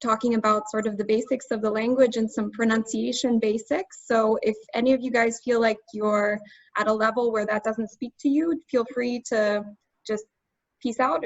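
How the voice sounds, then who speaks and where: An American woman tutoring German in a relaxed, chatty way, using casual slang. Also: a little high, moderate pace. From United States. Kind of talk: casual webinar teaching. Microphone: video call. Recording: dull lo-fi video call audio.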